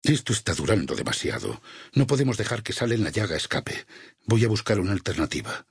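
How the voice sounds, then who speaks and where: An actor playing a stern, vengeful religious war leader in a Spanish video game dub, with a Castilian accent, a husky rasp and solemn, preacherly phrasing. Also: male voice, fairly low pitch, sing-song, brisk, dry recording, studio quality, full character voice. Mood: grim, resolute. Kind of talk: dubbed video game voice acting.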